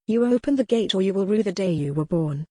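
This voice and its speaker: A woman's voice: medium pitch, lively intonation, quick pace, mellow, lightly acted. A woman voicing a proud, defiant young noblewoman, formal and imperious, in audiobook character dialogue. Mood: furious, commanding.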